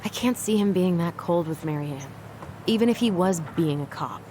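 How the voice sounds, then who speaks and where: Noticeable ambient noise surrounds a young American woman voiced by an actress in a narrative game. Her voice is a little low, and she speaks conversationally, guarded and somewhat hesitant. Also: lively intonation, moderate pace, warm tone, close to an everyday voice. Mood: defensive. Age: young adult. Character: guarded young woman, loyal to her family, easily exasperated. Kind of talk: naturalistic video game dialogue.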